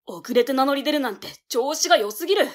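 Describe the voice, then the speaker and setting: Earnest, devoted boy for audio drama, voiced by a woman: high-pitched, polite, quick to accuse. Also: animated. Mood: indignant. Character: earnest, hot-headed, devoted boy medium. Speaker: voice actor. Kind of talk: anime-style voice drama acting.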